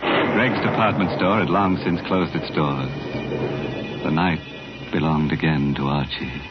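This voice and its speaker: An actor narrating an old-time radio thriller as an ominous, sardonic storyteller of the macabre. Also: male voice, extremely low pitch, steady tone, a little slow. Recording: muffled vintage radio recording. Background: dramatic music.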